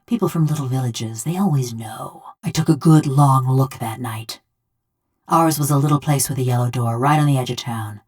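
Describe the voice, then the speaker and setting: A metal-bodied woman from a fantasy video game, pitched low for a female voice. She talks in a folksy, countrified way with sardonic wit. Underneath sits a hard, vengeful temper. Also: normal speed, a little mellow, husky. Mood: cold, resolute, then grim, haunted. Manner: folksy rustic drawl, sardonic. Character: vengeful, wry bronze construct housing a murdered village woman's soul. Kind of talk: video game character dialogue.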